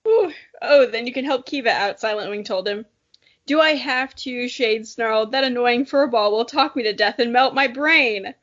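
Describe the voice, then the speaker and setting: A woman's voice: a little high, moderate pace, a bit bright. A young woman reading a story dramatically and chatting casually about it, with a giggly, playful, self-mocking manner. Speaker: podcast co-host. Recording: dull lo-fi audio.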